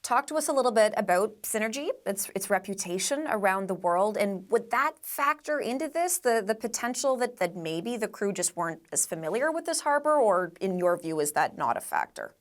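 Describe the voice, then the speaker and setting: A woman news anchor conducting a live interview, asking measured, polite and earnest questions. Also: mid-range voice, lively intonation.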